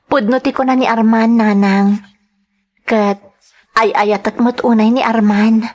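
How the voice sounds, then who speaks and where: A woman playing a gentle, dutiful young woman in love in a radio drama, with an Ilocano accent. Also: a little high, steady tone, measured pace. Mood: grateful, earnest. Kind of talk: radio drama acting and first-person narration.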